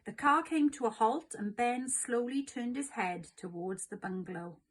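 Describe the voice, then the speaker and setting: A woman narrating a children's storybook aloud in an expressive, scripted read-along style. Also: on the high side, moderate pace, low-quality recording.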